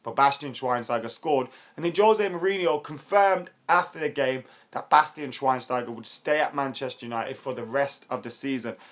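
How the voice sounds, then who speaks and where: A young man with a hoarse, worn voice, hosting a live football chat stream, chatty and excitable and quick to laugh. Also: mid-range voice. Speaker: YouTube football channel host.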